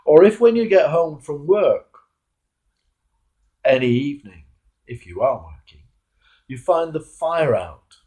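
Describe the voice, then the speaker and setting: Male cleric for a devotional reflection. Unhurried, soft-edged delivery, deliberate emphasis on key words. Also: a little high, very animated. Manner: measured, emphatic, rhetorical questions. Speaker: Anglican clergyman reading a reflection. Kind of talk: devotional sermon, read aloud.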